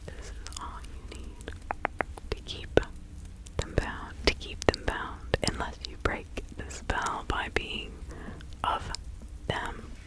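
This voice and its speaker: A woman whispering slowly and breathily in an ASMR roleplay, playing a kindly, protective witch who gives careful instructions. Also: poor audio. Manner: slow, deliberate, instructing with soft repetition. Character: kindly, protective 17th-century witch woman giving counsel.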